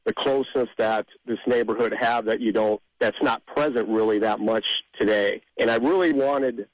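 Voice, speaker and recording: A man's voice: on the low side, normal speed, no echo, poor audio. A middle-aged or older man, an interview guest, talking casually in a rambling, anecdotal way. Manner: informal, rambling storytelling. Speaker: interview guest, amateur songwriter.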